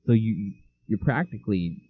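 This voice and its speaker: Teen male co-host, low voice, loose rambling chat. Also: expressive, normal speed, husky. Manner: rambling, lots of "like" fillers. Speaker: podcast co-host. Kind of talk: casual chat. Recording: dull lo-fi mic.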